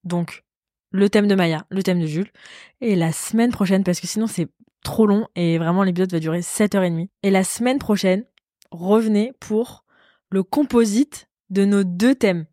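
Femme enrouée qui bavarde. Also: female voice, medium pitch, moderate pace, dark tone, no echo, studio quality. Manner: playful, chatty, teasing. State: losing her voice from a cold. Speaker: podcast co-host. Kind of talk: casual chat.

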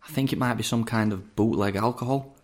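An actor playing a young man, a best friend whose casual friendliness hides quiet menace, in an audio drama. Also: medium pitch, brisk, slight background noise, close to an everyday voice. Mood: casual, coaxing.

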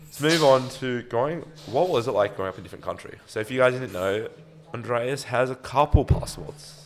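A young man hosting a casual podcast chat, swearing freely and chiming in quickly. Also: mid-range voice, animated, soft background music. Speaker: podcast host.

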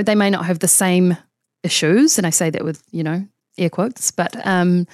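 A woman's voice: fairly low pitch, a little mellow, no room sound, clean studio sound. A woman in her thirties from New Zealand with a New Zealand accent, a coach talking casually and reflectively in an interview, with many fillers. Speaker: guest coach.

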